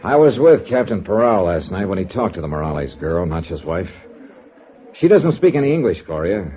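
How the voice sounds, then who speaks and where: A world-weary American investigator in an old radio serial. The voice is low and mellow, swinging widely in pitch, with clipped hard-boiled phrasing. It comes through a dull vintage recording. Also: male voice, very animated, moderate pace. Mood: probing, casual. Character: sharp, dogged, world-weary male insurance investigator. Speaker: lead actor and narrator in a detective serial. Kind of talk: 1950s radio detective acting, first-person narration.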